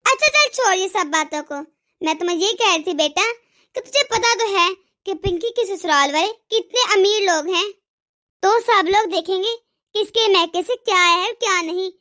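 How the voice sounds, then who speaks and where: A woman voicing a scolding, sarcastic, demanding mother-in-law in an animated family drama. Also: extremely high pitch, moderate pace, heavily acted. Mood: insistent. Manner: nagging, sarcastic. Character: scolding, demanding mother-in-law, fiercely partial to her daughter.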